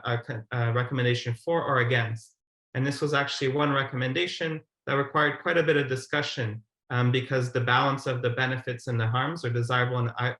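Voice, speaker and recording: A man's voice: mid-range voice, a bit bright. A man in his thirties giving an explanatory presentation as a webinar speaker on a video call. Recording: roomy, echoey video call.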